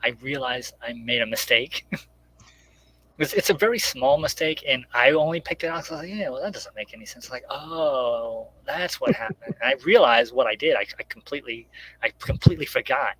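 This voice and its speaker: A man's voice: mid-range voice, animated, moderate pace, fairly bright tone, breathy edge, low-quality recording. A man speaking casually as an interviewed writer, explaining step by step and acting out imagined remarks as he goes. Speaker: guest comic book writer.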